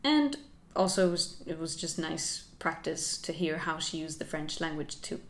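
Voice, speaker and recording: A young woman talks through her learning journey for her channel. She is soft-spoken and reflective, with a slightly low voice, and she laughs lightly as she goes. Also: animated, moderate pace. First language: Finnish. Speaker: channel host. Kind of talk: casual talking-head vlog.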